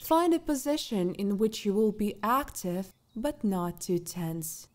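A woman leads a guided meditation at a very unhurried pace. Her tone is mellow and relaxed, with a medium pitch. She gives calm, soothing instructions with long pauses between them, over birdsong.

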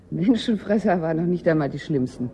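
An actress playing a poised, ironic newcomer with a wry, teasing manner in a German radio drama. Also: female voice, lowish voice, big pitch swings, normal speed, mellow. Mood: wry amusement.

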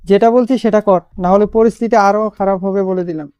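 A man's voice: high voice, fairly even intonation, brisk, dark tone, clean tone, no echo, highs cut off, natural voice. A man playing a stern, domineering middle-aged father, angry and unbending, in a dramatized audio love story. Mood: threatening.

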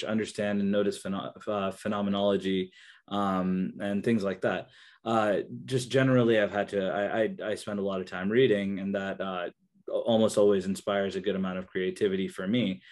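A man's voice: on the low side, darkish tone. A young man with Kannada as his first language, a guest on a video call interview, talking in a hesitant way full of fillers and restarts. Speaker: interview guest, researcher and therapist.

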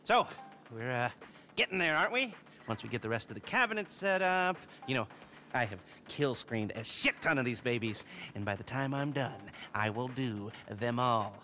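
Middle-aged game hacker, stammering, fumbling, lively pitch, game cutscene. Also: male voice, moderate pace, a little hiss or hum. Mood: proud. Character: nervous awkward middle-aged male hacker. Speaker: heist planner character. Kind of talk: video game cutscene acting.

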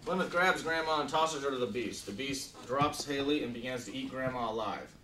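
A man's voice: mid-range voice, brisk, bright, forceful tone. An echoey, distant recording of a man leading a table read as director. He talks informally, with plenty of "you know" and "like," over faint noise in a meeting room. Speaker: film director. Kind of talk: reading stage directions and explaining shoots at a table read. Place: small indoor conference room. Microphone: camera mic across the table.

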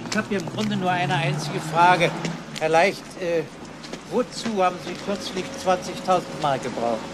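A male actor plays a police detective with a fairly high voice. He is courteous yet probing and persistent, in crime radio drama style. Clear ambient noise runs behind the dialogue. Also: normal speed, close to an everyday voice. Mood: insistent, sceptical.

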